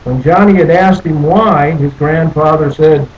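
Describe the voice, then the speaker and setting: A man reading a picture book aloud as a storyteller, deliberately, with questions and asides to his young listeners. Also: a little high, highs cut off, slight background noise. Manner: deliberate, asks the listeners questions and repeats phrases.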